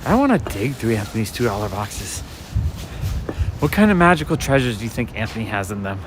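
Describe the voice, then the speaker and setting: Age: adult. Sex: man. Quality: raspy. Style: soft-spoken walking vlog. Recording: street traffic noise. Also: fairly low pitch, sing-song, fairly quick. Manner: casual, chatty, talks to viewers while walking. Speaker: walking vlogger and livestreamer. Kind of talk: soft-spoken rambling walk-and-talk commentary. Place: city street outdoors at night. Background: city street ambience and vehicles.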